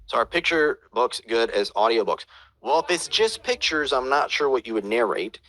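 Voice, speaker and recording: A man's voice: mid-range voice, sing-song, moderate pace, a bit bright, rough recording. A man teaching audiobook production, reading out viewer questions and answering them in a casual, hesitant way with many fillers and restarts.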